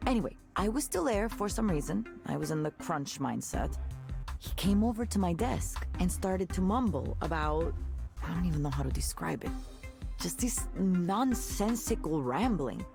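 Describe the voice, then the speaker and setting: A jaded, outspoken woman in a visual novel, talking like a friend dishing on a bad boss. Her voice sits low but moves around a lot, sardonic and naturally conversational. Music plays behind her. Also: moderate pace, gravelly. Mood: incredulous, disgusted. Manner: conversational, sardonic, expressive with disgusted asides. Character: candid, jaded woman ex-tech worker telling a wry story.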